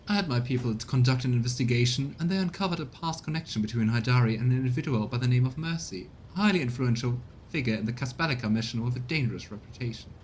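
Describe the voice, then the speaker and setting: A man's voice: mid-range voice, fast, hardly any pauses, a little hiss or hum, close to an everyday voice. A man voicing a prim, formal retainer in a video game, wordy and deferential in manner. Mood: officious, formal. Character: prim, formal retainer reporting to his lord.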